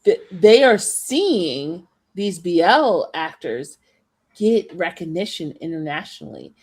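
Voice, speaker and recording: A woman's voice: on the low side, sing-song, slow pace, darkish tone, slightly rough. A woman co-hosting a podcast in casual chat, laying out her points at length with emphasis. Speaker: podcast co-host.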